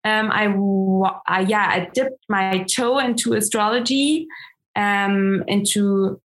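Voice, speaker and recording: A woman with a German accent, her first language German, talks slowly in an interview. She pauses on many ums and restarts her sentences as she searches for words. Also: medium pitch. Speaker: guest, astrologer. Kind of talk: interview conversation.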